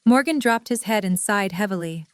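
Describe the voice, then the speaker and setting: A woman's voice: medium pitch, expressive, normal speed, no room sound. A woman reading scripted story narration in the third person.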